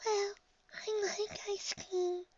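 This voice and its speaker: A woman's voice: very high-pitched, little pitch movement, slow pace, a little mellow, no room sound, highs cut off, pitched above the performer's own voice. A woman voicing a timid, tearful little girl pony with a small, hesitant, mumbling childlike voice for a story.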